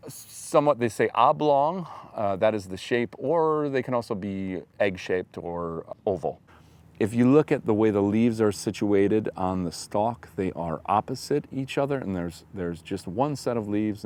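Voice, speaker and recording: Earnest low-voiced man explaining outdoors. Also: a little mellow. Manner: earnest, unhurried, repeats words while thinking. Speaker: foraging show host. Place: open field outdoors.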